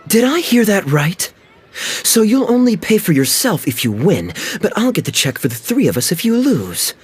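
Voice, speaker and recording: A man's voice: sits high, sing-song, moderate pace, husky, heavily acted. A sly, teasing young nobleman. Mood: indignant. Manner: teasing, sly, playfully commanding. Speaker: wealthy visitor at a tea house. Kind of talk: game cutscene voice acting.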